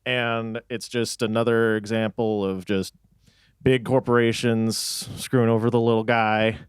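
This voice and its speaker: A man's voice: on the low side, little pitch movement, measured pace, smooth, dry recording, clean studio sound. A young man from Texas with an American accent, chatting casually and wryly in a band interview. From Texas, United States. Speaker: band musician being interviewed. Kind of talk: casual chat.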